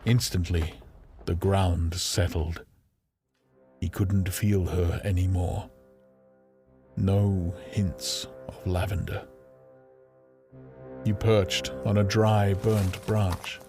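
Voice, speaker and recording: A man's voice: low voice, little pitch movement, drawn-out words, long pauses, darkish tone, soft background music. A man reading scripted fantasy narration as an audiobook narrator, grave and theatrical.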